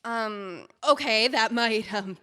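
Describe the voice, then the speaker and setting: An actress playing an earnest book editor in an audio drama, hesitant and placating. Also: female voice, on the high side, slow pace, pushed and bright, clear, resonant tone. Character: young woman editor, earnest and sympathetic. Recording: long hall reverb.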